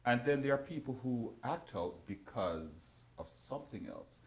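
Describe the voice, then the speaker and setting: A man's voice: mid-range voice, unhurried, gravelly. A man hosting a talk show, putting questions to his guests and jumping in to push back. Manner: animated, jumps in with questions.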